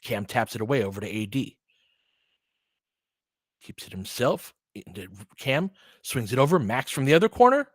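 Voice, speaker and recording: A man's voice: medium pitch, very animated, normal speed, long pauses, dry recording, studio quality. A man doing live basketball play-by-play in short clipped phrases, with casual, partisan asides like a fan commentator.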